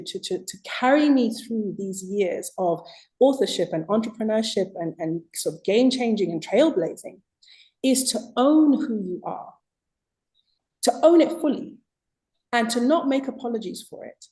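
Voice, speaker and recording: A woman with a British accent speaks as an interview guest, her voice mellow and warm at an average pitch. She tells her story reflectively and leans into key points with emphasis. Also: poor audio. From Zimbabwe. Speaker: guest author.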